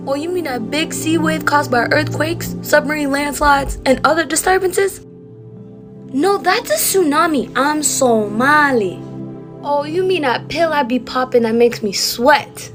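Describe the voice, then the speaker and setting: A woman performing a comedy skit, playfully acting confused over and over. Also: high voice. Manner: playful, repeating with mock confusion.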